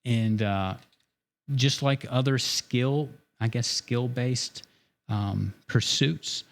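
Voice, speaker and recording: A man's voice: medium pitch, slow pace, vocal fry. A man drawing on a live art stream, chatting casually and joking while he thinks aloud about his strokes. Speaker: artist demonstrating a drawing.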